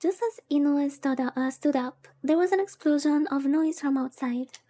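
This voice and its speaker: A woman's voice: high-pitched, moderate pace, mellow. A woman VTuber reading a visual novel aloud in a soothing way and adding casual commentary. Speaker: VTuber streamer. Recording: background music. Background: music.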